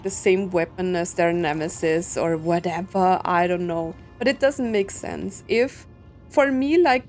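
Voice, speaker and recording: A woman's voice: mid-range voice, a little mellow. A woman doing casual let's-play commentary, musing aloud in a conversational way as she plays. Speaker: gaming content creator.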